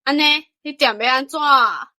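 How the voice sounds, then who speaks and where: A woman acts out a teasing young man with a Taiwanese accent in a radio play. The voice is bright and forceful, recorded very dry. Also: sits high, normal speed, no echo. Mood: surprised. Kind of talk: audio drama acting.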